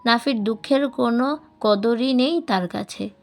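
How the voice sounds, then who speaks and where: A woman's voice: fairly high pitch, a little slow, creaky. A woman reading a novel aloud as a scripted audiobook narration.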